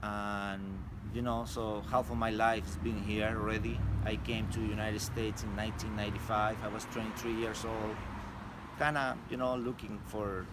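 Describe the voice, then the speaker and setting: A man in his forties from Chile with a Chilean Spanish accent, a street artist being interviewed, speaking in a halting, casual way with many fillers and restarts. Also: lowish voice, level tone. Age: 40s. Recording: loud street and traffic noise.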